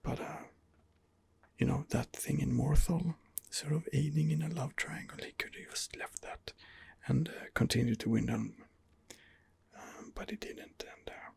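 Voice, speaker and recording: A man giving ASMR game commentary in a breathy, husky whisper, hesitant and rambling as he muses his way along. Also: a little high, unhurried, a little mellow. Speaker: video game streamer, channel host.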